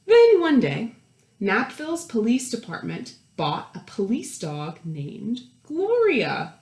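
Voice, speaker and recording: A woman reading a picture book aloud to young children, expressively, pausing for chatty explanations and questions. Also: fairly high pitch, wildly swooping pitch, a little mellow, echoey room. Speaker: children's storytime reader.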